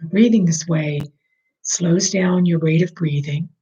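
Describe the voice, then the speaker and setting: A meditation guide leading a slow, soothing breathing meditation, speaking softly and at times almost in a whisper. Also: mid-range voice, fairly even intonation, dull audio.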